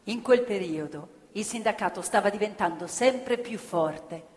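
A woman's voice: medium pitch, measured pace, darkish tone. A woman narrating a stage play about factory workers with impassioned storytelling that slips into song. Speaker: theatre narrator. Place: theatre stage. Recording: live stage show with long reverb and music.

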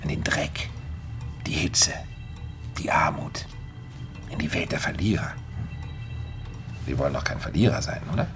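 A very low male voice plays an insinuating mentor and psychologist in a radio drama. He weighs each phrase and pauses deliberately, controlling and smooth. Faint music runs underneath. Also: whispered. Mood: quietly menacing. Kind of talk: German radio drama acting.

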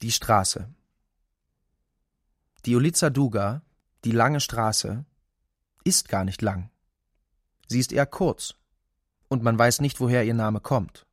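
A man giving a measured, clearly articulated reading of literary prose, as scripted narration. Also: mid-range voice, dry recording, clean studio sound.

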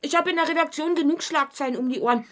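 A woman's voice: high-pitched, fast talker, lightly acted. A woman playing a weary but firm girlfriend, speaking hesitantly and pleadingly in naturalistic radio drama dialogue.

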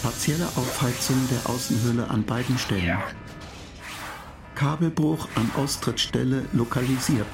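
An actor in a radio play voicing an impassive spaceship computer that makes clipped, monotone technical announcements. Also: mid-range voice, moderate pace, music in the background, close to an everyday voice. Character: impassive spaceship computer reporting faults and countdowns.

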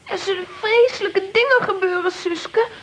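A woman voicing an excitable, brave young girl adventurer in a children's radio play. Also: very high voice, normal speed, slight background noise, poor audio. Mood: worried, urgent. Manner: exclaiming, urgent.

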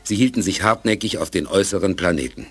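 An actor as the sober narrator of a space adventure, telling it in a measured, dramatic way for a 1980s German radio play. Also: male voice, low voice, animated, slightly rough, faint music behind. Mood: sober, suspenseful.

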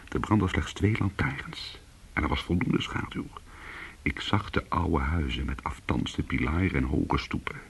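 An actor playing a weary, hard-boiled former cat burglar, telling his story in an intimate, brooding and deliberate way in a radio play. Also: low-pitched, creaky, husky, heavily acted.

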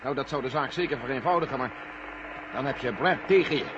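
A man's voice: medium pitch, quick pace, lightly acted. A male actor playing an earnest military general in a Dutch radio drama, reasoning with urgency. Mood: urgent, persuasive. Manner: urgent, persuasive. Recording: muffled old radio recording, loud noise.

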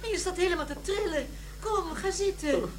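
Very high-voiced, emphatic woman. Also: normal speed, slight background noise. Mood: concerned. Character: exasperated, caring woman at home, talking to her man. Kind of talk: audio drama acting.

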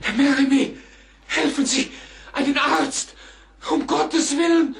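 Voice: sits high, steady tone, slow, creaky, exaggerated voice. An actor playing a frantic, grief-stricken parent, crying out for help in a radio play. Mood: panicked, wailing. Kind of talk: German radio play acting. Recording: echoey room, roomy sound.

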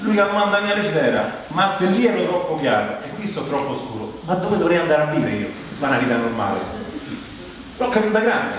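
A man's voice: on the high side, very animated, fast, a crowd in the background. Roman man performing in a very echoey hall. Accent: Roman Italian. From Rome, Italy. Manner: conversational, colloquial, with rhetorical questions and asides. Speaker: stage monologist. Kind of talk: humorous theatrical monologue. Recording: very echoey hall, distant mic, music. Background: plucked guitar music.

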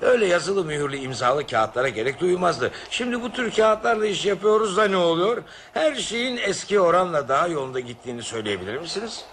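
A man's voice: sits high, animated, quick pace, hardly any pauses, a bit bright, slight background noise. An actor playing a talkative, self-righteous businessman in a radio drama, given to rhetorical questions. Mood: indignant. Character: opinionated, self-righteous businessman travelling by night train.

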